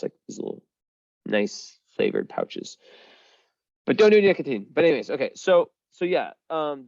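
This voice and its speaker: A man's voice: mid-range voice, creaky, no echo, lo-fi sound. A young man giving a casual astrology reading, rambling with lots of fillers, restarts and the odd swear. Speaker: astrology reader. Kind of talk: casual one-on-one chart reading.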